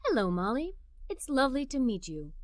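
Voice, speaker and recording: A woman's voice: high-pitched, big pitch swings, fairly quick, no room sound, studio quality. A woman voicing a friendly lady forester in a children's storybook, gracious and informative.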